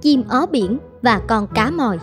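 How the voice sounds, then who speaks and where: A woman reading scripted narration for an animated story. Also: fairly high pitch, unhurried, faint music behind.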